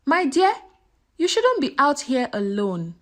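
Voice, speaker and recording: A woman storyteller plays a scheming madam from a folktale. She coaxes with false kindness and quiet menace, in a fairly high voice with a very lively melody. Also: a little slow, exaggerated voice. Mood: falsely concerned. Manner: coaxing, menacing. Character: scheming, manipulative village madam, falsely kind.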